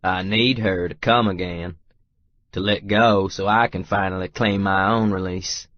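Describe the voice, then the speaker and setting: Man, low voice, unhurried deliberate phrasing, romance audiobook narration, very dry slightly muffled sound. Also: fairly even intonation, no room sound.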